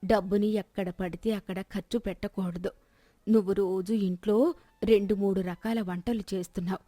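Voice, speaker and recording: Stingy old granny for a storybook tale played by a woman, scolding lecturer, mellow tone. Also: mid-range voice, steady tone, normal speed, no room sound, lightly acted. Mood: scolding, preachy. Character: stingy, preachy old grandmother.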